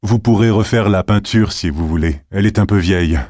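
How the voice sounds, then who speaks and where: A man's voice: on the low side, brisk, darkish tone, no room sound, clean studio sound, natural voice. A man playing a polite, helpful everyday character in a scripted language-exam dialogue.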